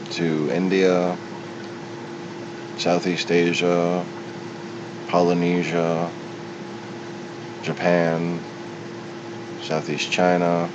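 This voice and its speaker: Low-voiced man rambling over loud rumble. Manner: halting, digressive, opinionated. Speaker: solo commentator. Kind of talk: unscripted rambling monologue. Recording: muffled, with loud steady rumble.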